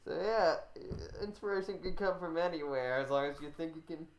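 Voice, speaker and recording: An American man from Minnesota hosting a casual podcast interview, rambling and halting as he repeats himself. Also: on the high side, highs cut off. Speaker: podcast host.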